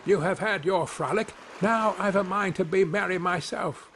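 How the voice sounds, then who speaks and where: A man's voice: high-pitched, moderate pace, fairly bright tone, a little hiss or hum, pitched above the performer's own voice. A man voicing a tiny, plucky storybook boy who is cheerful, cheeky and boastful, calling out boldly. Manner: calling out, merry and bold. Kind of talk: storybook character voice.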